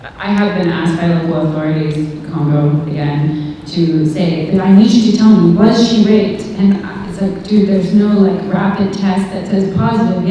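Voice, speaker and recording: A woman's voice: on the low side, dark tone. A woman speaking as a humanitarian doctor on a panel, explaining things candidly and colloquially. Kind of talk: informal panel discussion answer with anecdotes. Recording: echoey hall, distant mic, faint noise.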